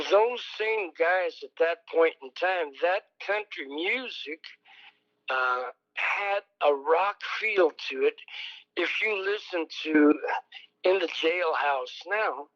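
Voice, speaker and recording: Older man, slow rambling storyteller. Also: fairly bright tone, lo-fi sound. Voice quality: fairly high voice. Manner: slow, rambling, many ums and pauses. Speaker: songwriter guest. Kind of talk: reflective oral history interview.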